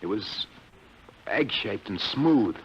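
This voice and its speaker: An actor playing a shaken young rocket pilot in a 1950s radio drama, halting, earnest and insistent. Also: male voice, lowish voice, animated, a little hiss or hum. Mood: shaken, recounting. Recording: dull 1950s radio recording.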